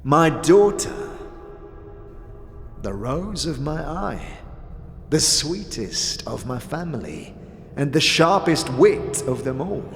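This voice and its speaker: An actor playing a sly, withered old scavenger boss in a fantasy audio drama, wheedling and cagey, with archaic, inverted phrasing. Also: male voice, fairly high pitch, big pitch swings, slow pace, gravelly, pauses often, soft background music, exaggerated voice. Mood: mock-tender, sly. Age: older. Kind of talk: audio drama character acting. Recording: long cavernous reverb.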